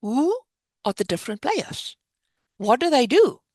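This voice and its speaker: A woman's voice: high voice, big pitch swings, a little slow, darkish tone, no echo, studio quality. A woman with a South African accent, founder of a finance program, giving an upbeat, emphatic presentation on a video call. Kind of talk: virtual fair presentation.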